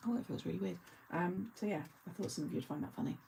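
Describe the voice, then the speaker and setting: A woman's voice: fairly low pitch, quick pace, vocal fry, very noisy. A middle-aged woman hosting a craft channel, chatting casually and rambling through asides with frequent laughter. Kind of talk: casual live chat.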